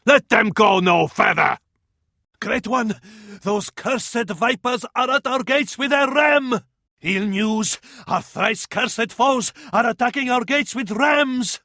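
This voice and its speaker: An actor with an Arabic accent playing a fervent, scornful medieval advisor to a sultan in a video game, in a florid, declamatory style. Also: male voice, very high-pitched, slow, bright, forceful tone, no room sound, studio quality, heavily acted. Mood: fierce, contemptuous. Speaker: battle advisor in a strategy game. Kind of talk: theatrical video game voice acting.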